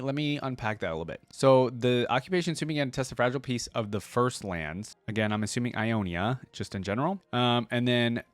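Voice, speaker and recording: A man hosting a casual reaction video, reading text aloud and thinking out loud in a conversational way. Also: fairly low pitch, no room sound. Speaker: host of a gaming lore reaction channel.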